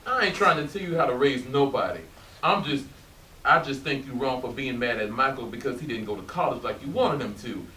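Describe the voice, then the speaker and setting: Loyal, pleading best friend played by a man, earnest, very varied pitch, echoey, distant reading. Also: lively intonation, a little fast, sharp tone. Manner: earnest, admonishing, colloquial. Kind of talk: stage play reading. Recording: echoey room, distant, hissy.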